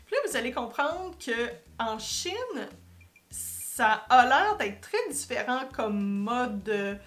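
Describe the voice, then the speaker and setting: High-voiced Québécois woman, casual explainer. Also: a bit bright. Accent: Québécois French. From Quebec, Canada. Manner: conversational, self-correcting, addresses viewers directly. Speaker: YouTube host and marketing commentator. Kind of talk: casual explanatory commentary to viewers.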